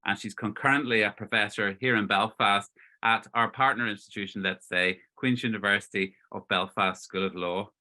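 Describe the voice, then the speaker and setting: A man hosting an interview, speaking in a halting, conversational way. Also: lowish voice, steady tone, bright, forceful tone. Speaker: interviewer and event host. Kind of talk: introduction and interview questions.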